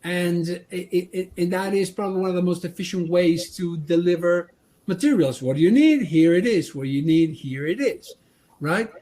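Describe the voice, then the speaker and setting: A man's voice: high voice, warm tone. A man from Argentina, giving a training presentation on manufacturing, often restarting his sentences. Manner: halting, many restarts and fillers.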